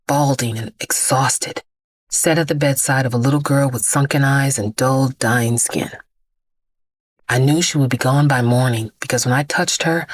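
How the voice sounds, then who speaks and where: Low, haunted woman vigilante. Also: no echo. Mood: haunted, intense. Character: immortal vigilante bag lady, weary, haunted, defiant woman. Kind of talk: dramatic first-person monologue in audio drama.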